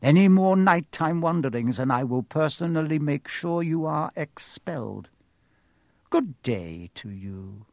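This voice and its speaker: A man voicing a cold, sneering male teacher who speaks slowly and smoothly. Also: lively intonation, a little mellow, dry recording. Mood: menacing. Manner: slow, smooth, low and drawling.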